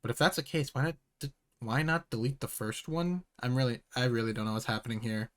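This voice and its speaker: A man's voice: medium pitch, steady tone, a little fast, a bit bright, a little hiss or hum. A man giving casual, sweary reaction commentary as a YouTuber, with exasperated sarcasm and a tendency to exclaim.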